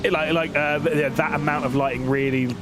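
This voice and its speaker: A man's voice: fairly high pitch, fairly even intonation, a little fast. A man giving casual live commentary on a sim race, chatty, rambling and often restarting his sentences.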